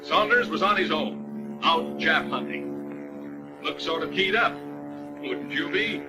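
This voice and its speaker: A man's voice: fairly high pitch, slow, vocal fry, low-quality recording. An American man giving colloquial, urging dramatic narration for a 1940s military training film. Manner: colloquial, urging, rhetorical questions. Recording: old 1940s film soundtrack, loud music. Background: loud musical score.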